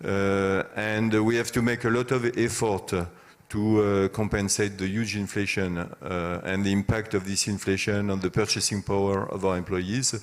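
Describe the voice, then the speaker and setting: A man speaking off the cuff as a business executive on a conference panel, hesitant and halting, pausing often. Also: lowish voice, flat delivery. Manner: hesitant, halting delivery with long pauses. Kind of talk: unscripted panel discussion.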